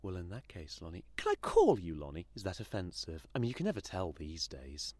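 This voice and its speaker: A man plays an odd, evasive institute worker in an audio drama. The voice is deep and husky, with a lot of movement in it, and the manner is wordy and gently persistent. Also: huge pitch swings, a little fast, no echo, heavily acted. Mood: affable, awkward.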